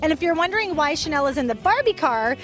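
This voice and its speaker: A woman's voice: high-pitched, big pitch swings, fast talker, fairly bright tone, faint music behind. An American woman in her thirties, a chatty TV field reporter doing a live morning interview outdoors on a handheld microphone. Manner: chatty, conversational. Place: outdoors in a dry hillside yard.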